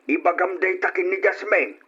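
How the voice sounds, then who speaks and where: A man playing a kindly, concerned old grandfather in a radio drama, husky and slow, giving advice. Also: sits high, steady tone, bright, forceful tone, heavily acted. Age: older. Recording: dull, lo-fi old radio recording.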